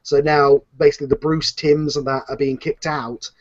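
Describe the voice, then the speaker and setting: A man's voice: on the high side, flat delivery, normal speed, highs cut off. A man hosting a casual, unscripted panel discussion, talking in a rambling, opinionated way. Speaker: host and audio drama series creator.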